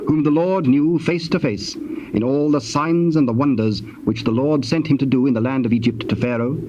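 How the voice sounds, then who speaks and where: A man narrating scripture in a solemn, measured, scripted manner. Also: medium pitch, warm tone. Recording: muffled old tape with clear noise.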